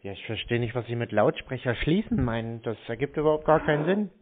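Deadpan man on a phone call. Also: mid-range voice, fast, noisy background. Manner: deadpan, obtuse, needling. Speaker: prank call recipient baiting scammers. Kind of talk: phone conversation. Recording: narrow phone-call sound.

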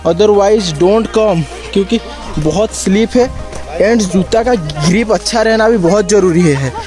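A man's voice: high-pitched, a little slow, music in the background. A young man from Odisha gives casual travel-vlog commentary outdoors into a handheld phone, mixing in English phrases and repeating himself for emphasis. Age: young adult. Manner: mixes English phrases into his talk, repeats warnings. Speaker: travel vlogger. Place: outdoors, steep forest trail. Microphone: handheld phone at arm's length.

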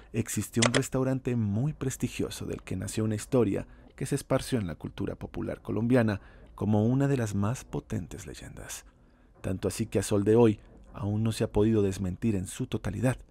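A man reading a scripted horror story as narrator, slow and ominous, dropping his voice low on the grim lines. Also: creaky, dark tone, slightly rough.

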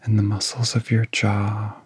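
A man speaking softly and soothingly in an ASMR guided relaxation, often dropping into a near-whisper, close to the microphone. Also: on the low side, completely flat delivery, measured pace, breathy edge, no room sound.

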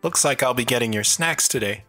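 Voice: mid-range voice, brisk, bright, forceful tone, faint music behind, natural voice. A performer playing a plucky, mischievous little boy in an audio drama. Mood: playful.

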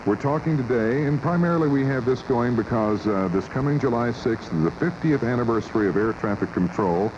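A man's voice: mid-range voice, animated, fairly quick, few pauses. An American man hosting a talk radio show in a casual, folksy, conversational way. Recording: muffled 1980s AM radio cassette, loud noise.

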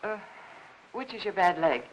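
An American woman in her thirties acting a sweet, playful, easily flustered young woman with coy, expressive delivery in a 1950s Hollywood film. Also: on the low side, normal speed, highs cut off. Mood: kindly, coy. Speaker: actress. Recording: faintly noisy vintage film soundtrack.

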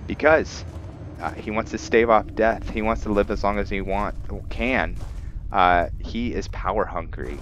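A man giving casual, halting gameplay commentary with roleplay narration, thinking aloud as he goes. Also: lowish voice, a bit bright. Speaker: gameplay video host. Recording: dull lo-fi mic, noisy background.

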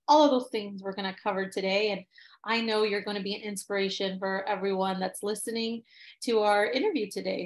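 Casting: woman host, slightly high voice, upbeat and welcoming, talking over a video call. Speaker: interview host. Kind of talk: casual interview hosting. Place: home room.

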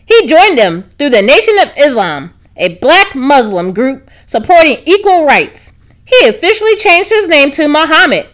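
Woman, high voice, very varied intonation, children's read-aloud narration, clearly enunciated. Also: fairly bright tone. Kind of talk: scripted children's storybook narration.

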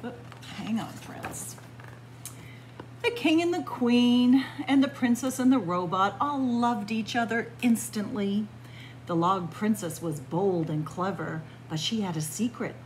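A woman storyteller reads a picture book aloud to children in an expressive manner, recorded indoors in a library room. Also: mid-range voice, a little slow, low-quality recording. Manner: expressive, addressing young listeners as friends. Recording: clear background room noise.

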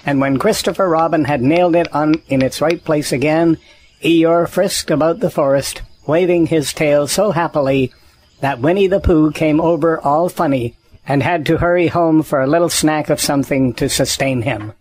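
A man narrating a children's story in an audio drama with a gentle, measured delivery. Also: a little high, poor audio.

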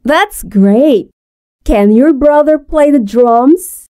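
A woman speaks as a classroom teacher in a high voice. She asks her questions slowly and clearly, in the manner of recorded course material. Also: lively intonation, dark tone. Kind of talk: scripted textbook dialogue.

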